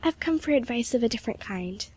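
A woman's voice: on the high side, lively intonation, fast, a little mellow, husky. A woman voicing an earnest, sensitive young heroine of a storybook, with a pleading manner. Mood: mild.

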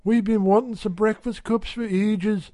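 A man's voice: high voice, steady tone, unhurried, warm tone, no room sound, pitched above the performer's own voice. A man voicing a plump, sly working-class wife with an insidious, cat-like manner. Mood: coaxing.

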